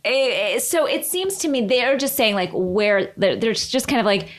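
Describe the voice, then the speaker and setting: A woman's voice: a little high, expressive, moderate pace. A woman co-hosting a podcast in casual chat, recapping reality TV, often repeating and restarting her phrases as she goes. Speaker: podcast co-host.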